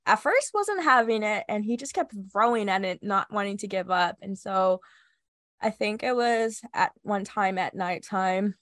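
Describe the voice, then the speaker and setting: A young woman co-hosting a podcast, chatting casually with a stuffy nose from a cold, nervous and quick to laugh. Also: mid-range voice, expressive, a bit bright, no room sound, studio quality. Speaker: podcast co-host. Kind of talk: casual chat.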